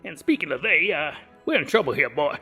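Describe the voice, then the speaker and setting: A man's voice: a little high, sing-song, fairly quick, bright, forceful tone, faint music behind, full character voice. A man voicing a cranky, paranoid old wizard who rambles and hectors in a comic fantasy audio drama. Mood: agitated warning.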